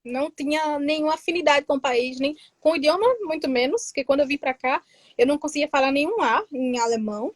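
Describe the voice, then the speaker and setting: A lively woman tells her story in a casual interview. Her voice is high, and the sound is dry and close. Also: fast talker, no room sound. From Northeast Brazil, Pernambuco interior. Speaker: guest, tax assistant in Germany.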